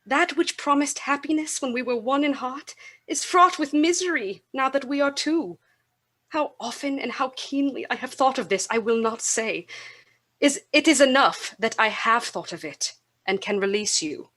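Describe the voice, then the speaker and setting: A young Victorian woman in a radio play, gentle and sorrowful. Her voice sits fairly high, and she speaks in measured, formal period phrases to the man she is giving up. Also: animated. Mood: grave, hurt. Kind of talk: Victorian radio drama acting.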